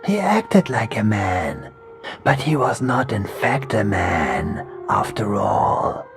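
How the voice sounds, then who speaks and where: An eerie being wearing a man's shape speaks in a slow, gravelly hush, as a male narrator puts it on. It stays calm and measured, drifting into whispers. A horror storybook figure with a creeping, patient menace. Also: lowish voice, music in the background, pitched above the performer's own voice, full character voice. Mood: soothing menace. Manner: soft, measured, slips into hushed whispers. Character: uncanny inhuman being posing as a calm man. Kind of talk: horror audiobook reading.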